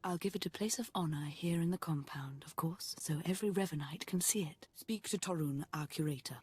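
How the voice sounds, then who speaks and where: A low, husky female zealot. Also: a little fast, a little mellow. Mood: serene, commanding. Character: fervent, obsessed female Sith cult devotee, soft-spoken zealot. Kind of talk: scripted video game voice acting.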